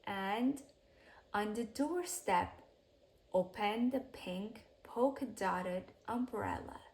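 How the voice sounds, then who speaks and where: High-voiced woman reading a children's story slowly. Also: darkish tone. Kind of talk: expressive read-aloud bedtime story narration.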